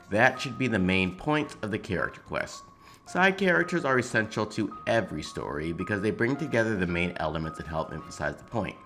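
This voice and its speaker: A man's voice: deep voice, pushed and bright. A man reading a scripted, opinionated video essay as a gaming commentator, with sardonic asides. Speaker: YouTube game commentator. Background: background music.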